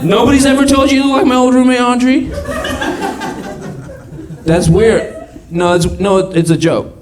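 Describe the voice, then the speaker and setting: A man's voice: very high voice, steady tone, moderate pace, rough recording. A man doing a stand-up set on a comedy club stage. He is awkward and self-mocking, teases the audience and swears a lot. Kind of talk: stand-up set with crowd work. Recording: live club set with loud crowd. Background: audience laughter.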